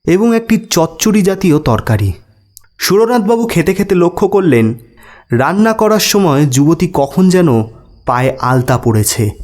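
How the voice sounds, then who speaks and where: A man's voice: a little high, darkish tone. A man narrating a scripted ghost story as a storyteller.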